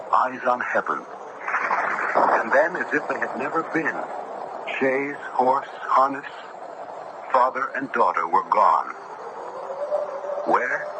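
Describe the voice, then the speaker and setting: Earnest, grave judge male actor, oratorical and formal, medium-pitched, vintage radio drama with a noisy recording. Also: muffled recording, lightly acted. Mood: awed. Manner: oratorical, dramatic, formal old-fashioned diction. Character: earnest, grave young judge recounting a haunting. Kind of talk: 1970s radio drama acting and narration.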